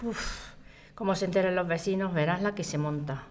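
A woman's voice: on the low side, normal speed, darkish tone, lightly acted. A woman with an accent from Spain acting a chatty, curious neighbour in an audio drama. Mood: nosy. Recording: echoey room.

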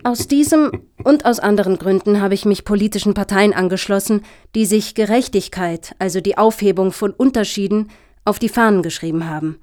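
A woman's voice: medium pitch, darkish tone, lightly acted. Earnest, self-righteous middle-aged activist played by a woman. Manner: emphatic, preachy, rhetorical. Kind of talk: satirical radio play dialogue.

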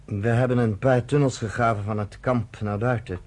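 A man's voice: medium pitch, steady tone, normal speed, darkish tone, natural voice. A man playing an earnest young camp resistance organizer in his twenties, matter-of-fact, in a radio play. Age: 20s.

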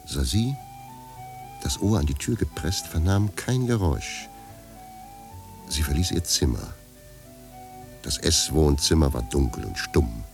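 Male radio drama narrator: very deep, creaky, wry storytelling with lively intonation. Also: a little slow, long pauses, over music. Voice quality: gravelly.

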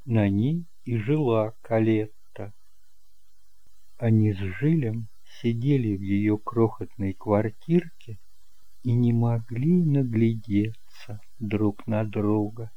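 A man narrating romantic fiction as an audiobook, telling the story in a measured, expressive way. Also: fairly low pitch, no echo, lo-fi sound.

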